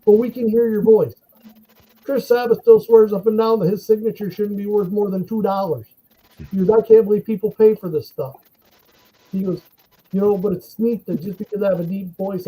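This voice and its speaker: A man with a high, mellow voice tells stories in an easygoing, animated way. His tone is soft and slightly husky, and he often laughs as he talks. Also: rough recording. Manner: animated anecdotes, laughs while telling stories. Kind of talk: casual chat, storytelling.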